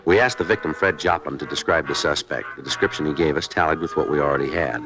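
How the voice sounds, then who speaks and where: Very deep, deadpan police detective. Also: male voice, fast, over music. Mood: matter-of-fact narration. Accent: American. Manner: deadpan, clipped. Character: terse, stoic male police detective sergeant. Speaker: lead actor and narrator of a police radio drama. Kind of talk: 1950s radio acting, clipped narration. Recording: 1950s radio drama recording.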